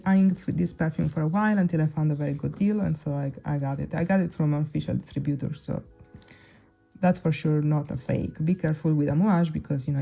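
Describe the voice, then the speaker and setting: A woman's voice: fairly low pitch, vocal fry, dark tone. A young woman whose first language is Italian, chatting casually to camera as a perfume reviewer, with many restarts and fillers. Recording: dull lo-fi sound.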